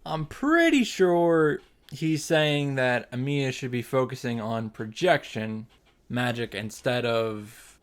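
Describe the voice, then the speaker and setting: A man hosting a reaction series, giving an informal, wry recap with running commentary. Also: mid-range voice. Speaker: host of visual novel reaction series. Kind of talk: casual recap narration with commentary. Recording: music behind the voice.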